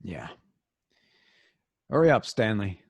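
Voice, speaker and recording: An actor playing a nervous, grumbling accomplice in an old-time radio drama, stammering and complaining. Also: male voice, fairly low pitch, huge pitch swings, takes it slowly, slightly rough, no room sound, exaggerated voice. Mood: hushed grumbling.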